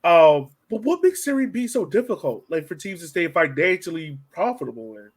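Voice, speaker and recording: Lively, higher-voiced male podcast host. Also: fairly quick. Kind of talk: casual sports interview.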